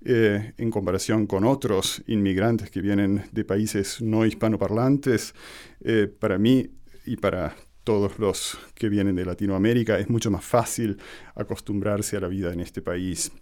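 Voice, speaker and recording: A man from Argentina with an Argentine accent, speaking as an interview guest in a measured, explanatory way with hesitant pauses. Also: lowish voice, fairly even intonation, mellow. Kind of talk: conversational radio interview monologue.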